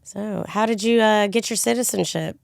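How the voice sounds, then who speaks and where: A woman's voice: medium pitch, moderate pace, vocal fry, no echo, studio quality. A woman hosting a podcast in casual banter, speaking bluntly in slang and teasing her guest. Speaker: podcast host, personal injury lawyer.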